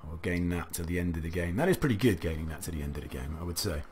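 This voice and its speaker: Deep-voiced man musing over gameplay. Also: lively intonation, fast talker, darkish tone. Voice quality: slightly gravelly. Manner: musing aloud, chatty, self-talking. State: recovering from a cough, slight gravel. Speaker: let's play commentator. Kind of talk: casual gameplay commentary. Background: faint game music.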